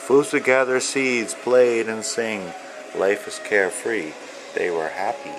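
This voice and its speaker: Male author-reader for a children's picture book, lower register, very unhurried, measured storytelling delivery with pauses. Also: steady tone, background noise. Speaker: author and illustrator reading own children's book. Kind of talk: read-aloud storytelling of rhyming children's book.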